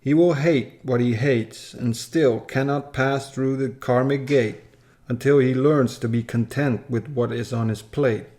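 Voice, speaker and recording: Male narrator, slow pace, solemn measured delivery, scripted rhyming storytelling. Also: medium pitch, steady tone, a little mellow. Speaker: story reader.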